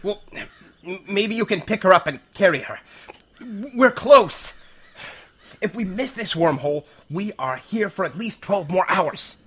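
A man acting a bright, high-voiced adventurer professor. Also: husky, exaggerated voice. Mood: anxious. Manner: brisk, commanding, excitable. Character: urgent, commanding male professor-adventurer hero. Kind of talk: audio play acting.

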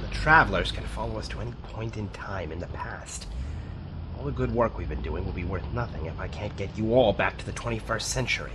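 A man playing an excitable, secretive time-travelling professor in an audio drama. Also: fairly low pitch, fairly quick, noisy background, natural voice. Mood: worried explaining.

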